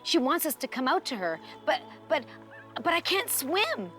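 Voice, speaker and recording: Woman acting an excitable, high-pitched young island boy. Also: moderate pace, soft background music. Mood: panicked. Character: excitable, trusting young island boy who sees a princess.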